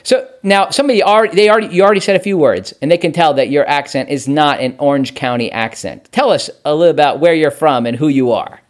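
A man's voice: fairly high pitch, fast talker, hardly any pauses. A man hosting a podcast interview, asking questions in an eager way and often restarting his sentences. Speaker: podcast host.

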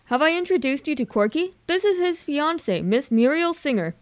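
Breezy posh young chap played by a woman, highish voice, old society slang, radio comedy. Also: sing-song, moderate pace. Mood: confident. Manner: breezy period upper-class slang.

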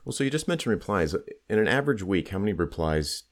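Deep-voiced, animated male interviewer. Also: quick pace. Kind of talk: conversational interview questioning.

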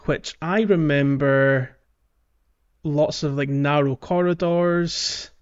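A man's voice: fairly high pitch, fairly even intonation, takes it slowly, clear, resonant tone, highs cut off. A man co-hosting a casual podcast, explaining a video game in a hesitant, piece-by-piece way. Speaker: podcast co-host. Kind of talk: casual game walkthrough chat. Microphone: podcast voice recording.

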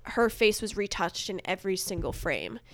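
A woman's voice: mid-range voice, sing-song, normal speed. A woman guest comedian chatting casually about pop culture, often quoting other people in acted asides.

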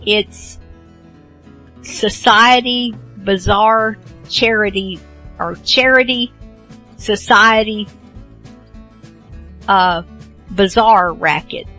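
A woman hosting a radio show in a casual, unscripted way, halting and rambling as she goes. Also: medium pitch, sharp tone, faint music behind. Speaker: host of an old-time radio show.

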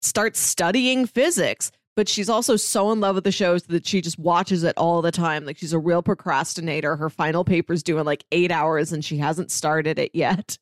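A woman co-hosting a podcast, chatting casually and retelling a show in an animated way, acting out bits of dialogue. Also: fairly low pitch, normal speed, no room sound. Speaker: podcast co-host. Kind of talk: casual chat recapping a TV show.

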